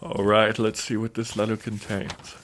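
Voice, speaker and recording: A man's voice: fairly low pitch, monotone, moderate pace, fairly bright tone, lightly acted. A man playing a courteous priest and narrating an audio drama. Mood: courteous, measured.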